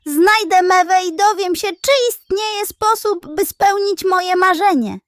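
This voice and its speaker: A woman's voice: very high voice, a little slow, dry recording, studio quality, pitched above the performer's own voice. A woman voicing a small, dreamy young female seal in a children's bedtime story, earnest and wistful. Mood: excited.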